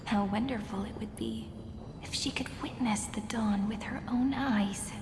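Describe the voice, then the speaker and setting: A woman's voice: on the high side, measured pace, background noise. A woman voicing a solemn, wistful chronicler in a fantasy game, speaking in a poetic, reflective manner. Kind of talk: dramatic game narration. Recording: echoing hall reverb.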